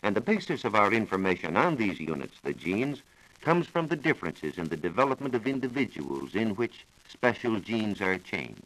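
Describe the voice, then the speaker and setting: An American man giving a scripted science talk on 1940s radio, explaining in a measured, formal manner. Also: fairly low pitch, sing-song, a bit bright, low-quality recording. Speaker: science reporter explaining research. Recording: old 1940s radio broadcast recording.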